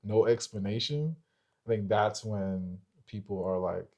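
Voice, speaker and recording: A man's voice: lowish voice, unhurried, darkish tone. A young man with an American accent co-hosting a relationship show in loose, informal chat, often checking for agreement. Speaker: co-host of a couples' relationship show. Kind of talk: casual chat.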